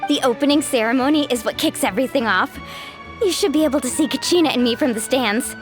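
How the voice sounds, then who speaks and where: A woman's voice: high voice, quick pace, over music, natural voice. A woman playing a friendly, confident young female contestant in casual English game dub dialogue. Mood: friendly, upbeat.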